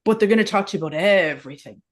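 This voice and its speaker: A woman hosting a podcast in an informal, chatty and expressive conversational style. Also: medium pitch, racing. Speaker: podcast host.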